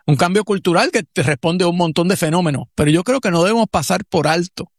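Puerto Rican man, slightly high voice. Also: fast, dry recording, clean studio sound. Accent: Puerto Rican Spanish. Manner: reflective, digressive, many restarts and fillers. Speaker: radio co-host, commentator; professor. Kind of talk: casual talk-show commentary.

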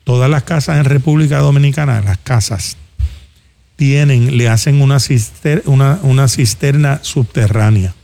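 A male talk show host with a Puerto Rican accent and a gravelly, creaky voice at a middling pitch. He talks in a colloquial, off-the-cuff way, as if handing practical advice straight to the listeners. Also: normal speed, dark tone. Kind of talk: casual conversational commentary. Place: studio.

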